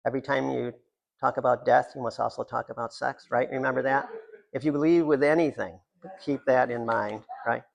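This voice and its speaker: A man's voice: medium pitch, steady tone, fairly quick, vocal fry. A man, a writer, reading his own work aloud at a live literary reading, with rambling, self-deprecating, jokey asides.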